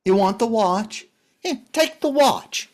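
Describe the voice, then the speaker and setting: An actor playing a grieving, pleading widower and recovering addict in a drama reading, speaking earnestly and haltingly. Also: male voice, high voice, lively intonation. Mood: desperate, pleading. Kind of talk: script reading of a stage drama.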